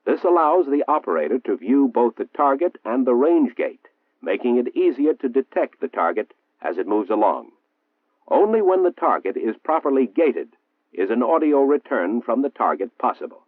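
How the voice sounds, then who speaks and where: American guy doing old 1960s army training film voiceover, formal n precise, kinda husky, boxy tinny old recording. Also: mid-range voice, sing-song. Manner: formal, measured, precise enunciation. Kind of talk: 1960s military instructional film narration. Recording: muffled, roomy 1960s film soundtrack, phone-like.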